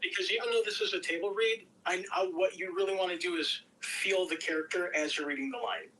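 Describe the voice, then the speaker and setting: A man's voice: high voice, a little fast, bright, forceful tone. A man talking casually as he directs and hosts an online table read, giving instructions and announcements. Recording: distant mic with faint background noise.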